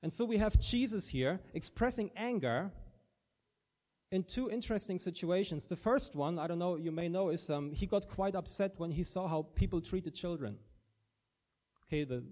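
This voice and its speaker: German-accented male preacher, dark tone. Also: high-pitched, normal speed. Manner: earnest, frequent restarts and repeated words. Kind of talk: sermon.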